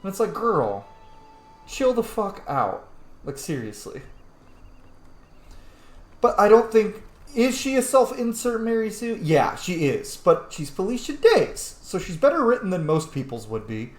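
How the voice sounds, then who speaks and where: Animated, higher-voiced male game streamer. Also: unhurried, echoey room. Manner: slangy, opinionated, excitable. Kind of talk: casual game commentary.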